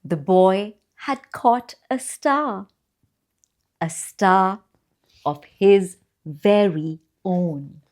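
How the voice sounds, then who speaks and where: A woman reading a children's bedtime story aloud, with expressive, deliberate phrasing and drawn-out pauses for young listeners. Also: mid-range voice. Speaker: children's storyteller and channel host.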